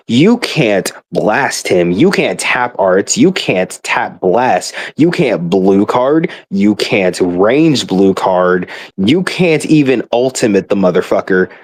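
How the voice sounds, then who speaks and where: A man with an average-pitched voice delivers a casual, rambling rant in the way of a fed-up gamer. He is emphatic and exasperated, leaning on his words for weight. His talk is informal and peppered with profanity. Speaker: gamer ranting about a mobile game.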